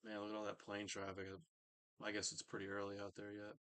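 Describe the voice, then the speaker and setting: A man with a lowish, very even voice hosts a casual live stream. He mutters along in a distracted way, and the sound is dry, with little room around it. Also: brisk, dry recording.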